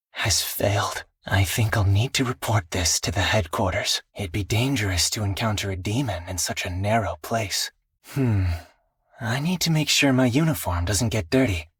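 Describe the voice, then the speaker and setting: A man voicing an earnest, dutiful teenage exorcist swordsman in a video game, speaking solemnly and politely in measured phrases. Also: lowish voice, a bit bright, slightly rough, no room sound, studio quality, heavily acted. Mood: weary, grave. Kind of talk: scripted video game character voice lines.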